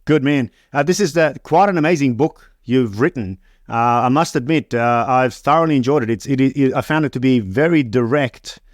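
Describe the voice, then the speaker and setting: A man hosting an interview podcast, reading an introduction and then asking questions in a measured way, often restarting sentences. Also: medium pitch, dry recording, clean studio sound. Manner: measured, stumbling restarts when unscripted. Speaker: podcast host.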